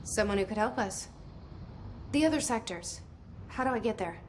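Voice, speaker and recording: An actress playing a determined young woman who is thinking aloud in a low inner monologue. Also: animated, normal speed, a little mellow, a little hiss or hum, natural voice. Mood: focused.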